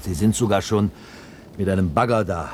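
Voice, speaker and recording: Man acting a low-voiced, world-weary official. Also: normal speed, noisy background, close to an everyday voice. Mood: weary, dry. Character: world-weary male deputy of a future Earth office. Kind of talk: German science-fiction radio play.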